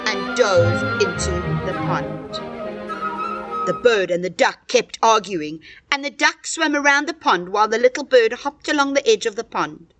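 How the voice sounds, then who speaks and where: A woman narrating a scripted children's story in a dramatic, expressive storytelling manner. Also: a little high, moderate pace. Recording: music behind the voice.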